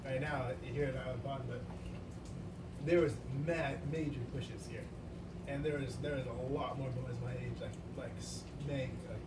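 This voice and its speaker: A man's voice: medium pitch, fairly even intonation, moderate pace. A man in his twenties from Canada chatting casually, hesitant and circling back with fillers. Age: 20s. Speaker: community member. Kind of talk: casual chat. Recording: echoey, distant, noisy.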